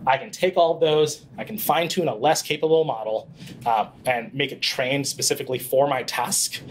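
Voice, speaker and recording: A man in his thirties giving a live presentation in a small conference room, talking casually with many fillers and quick self-corrections, into a handheld mic picked up by a distant camera. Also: mid-range voice, slight background noise. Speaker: conference speaker. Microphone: handheld mic, far camera.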